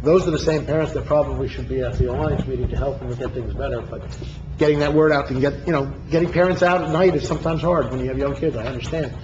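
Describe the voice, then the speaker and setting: A man's voice: mid-range voice, quick pace. Muffled male mayor chairing a meeting. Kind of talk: informal public meeting remarks. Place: indoor council chamber. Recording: muffled, clearly noisy meeting room.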